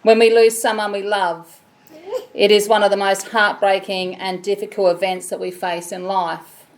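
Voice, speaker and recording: An Australian woman with a loud voice, leading a funeral service as celebrant in a measured, solemn way. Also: mid-range voice, flat delivery. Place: funeral chapel.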